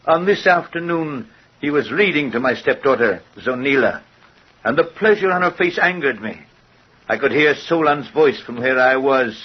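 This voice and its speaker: Bitter, husky aging inventor. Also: male voice, mid-range voice. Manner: formal, theatrical, deliberate diction. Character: bitter, hateful aging inventor and stepfather, coldly menacing. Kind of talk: old-time radio horror drama acting. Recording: muffled, husky-sounding old radio recording.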